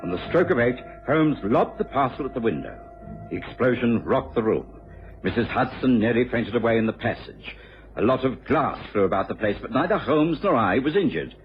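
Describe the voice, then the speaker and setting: An actor playing an earnest, gentlemanly doctor, the loyal companion of a detective, in an old-time radio drama. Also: male voice, medium pitch, faint music behind, close to an everyday voice. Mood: composed, storytelling. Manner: measured, expressive storytelling, easily flustered. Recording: muffled old radio recording.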